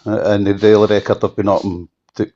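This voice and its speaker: A Scottish man giving an unscripted telephone interview, halting and often repeating himself for emphasis. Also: fairly low pitch, level tone, warm tone. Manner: halting, repetitive, emphatic restatements. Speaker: campaigner being interviewed. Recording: dull lo-fi phone call.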